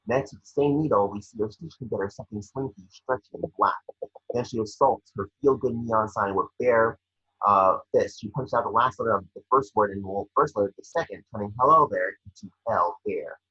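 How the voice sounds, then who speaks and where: A man's voice: on the low side, a little fast, sharp tone, breathy edge, muffled recording. A man reading screenplay scene directions aloud as narrator, stumbling over words now and then.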